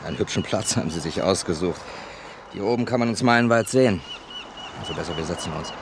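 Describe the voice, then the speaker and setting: An actor playing a wry writer who narrates his own murder mystery in a measured German radio drama style. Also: low-pitched, expressive, background noise. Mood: dry, courteous. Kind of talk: 1950s-80s German crime radio drama acting.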